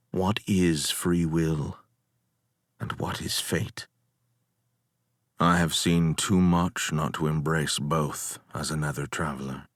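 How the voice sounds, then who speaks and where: A man's voice: sits low, fairly bright tone, no room sound, studio quality, heavily acted. A man performing a weary, proud ancient Greek hero who recounts his sea voyages as theatrical dramatic poetry, in a grave, declamatory manner. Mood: bitter, reflective. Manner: grave, declamatory, deliberate storytelling with dramatic swells.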